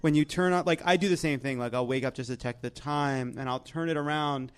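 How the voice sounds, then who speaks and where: A man's voice: a little high, fairly quick, clear, resonant tone. An American man hosting a live comedy panel show, joking in a wry, sarcastic way. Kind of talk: joking panel chat before a live audience.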